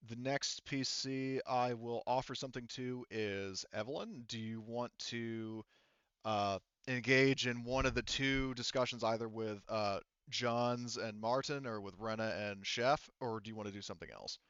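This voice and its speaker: A man narrating a tabletop roleplaying game as game master, informal and halting as he thinks aloud. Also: mid-range voice, a bit bright, clear, resonant tone, dry recording, highs cut off.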